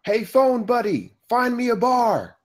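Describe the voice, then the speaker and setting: A man's voice: extremely high pitch, sing-song, unhurried, heavily acted. A man at a script table read playing a harried, brash buddy who is a father of many. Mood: exasperated.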